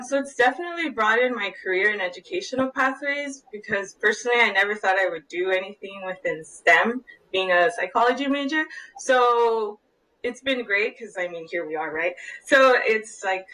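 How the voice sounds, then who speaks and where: A woman's voice: medium pitch, bright, forceful tone. A young woman, a psychology student, speaking thoughtfully and encouragingly in a video-call interview. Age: young adult. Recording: distant from the mic.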